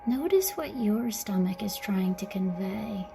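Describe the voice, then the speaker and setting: Woman doing a guided body scan, super slow n gentle, soft breathy endings, music behind her. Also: mid-range voice, lively intonation. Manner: gentle, soothing, softly spoken with breathy phrase endings. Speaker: meditation guide. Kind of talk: guided mindfulness meditation narration.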